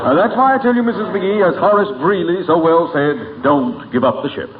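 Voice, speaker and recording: Pompous small-town mayor, tinny radio. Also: male voice, sits high, animated, a little fast, a loud crowd. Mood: pompous, assured. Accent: American. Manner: pompous, formal, sputtering protest. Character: earnest, pompous, easily exasperated small-town male mayor. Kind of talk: 1940s radio comedy acting. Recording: tinny, noisy 1940s radio broadcast.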